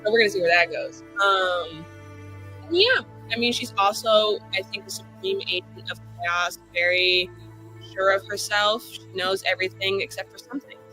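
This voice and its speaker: A woman playing a tabletop game, introducing her character casually in blunt, informal, halting phrases. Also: medium pitch, bright, forceful tone, soft background music, poor audio.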